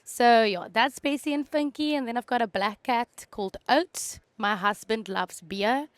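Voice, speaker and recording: A woman's voice: a little high, unhurried, no room sound. A woman from South Africa whose first language is Afrikaans, a guest animal scientist chatting casually and telling stories. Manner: chatty storyteller, laughs between stories. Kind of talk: casual chat.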